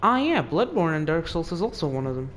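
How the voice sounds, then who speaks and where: A man's voice: on the high side, big pitch swings, a little fast, fairly bright tone, a little hiss or hum. A teenage male gamer giving casual, musing off-the-cuff commentary while playing. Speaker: game streamer.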